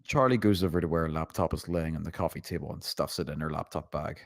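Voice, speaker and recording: A man's voice: rumbling low, fast talker, gravelly. A man narrating a screenplay, reading out the scene directions.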